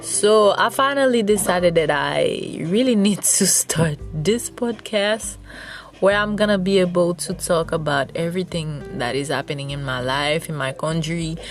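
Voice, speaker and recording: Slow, giggly Haitian woman podcaster. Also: fairly low pitch, soft background music. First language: Haitian Creole. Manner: chatty, rambling, with frequent giggles. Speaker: podcast host. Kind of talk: casual solo podcast monologue.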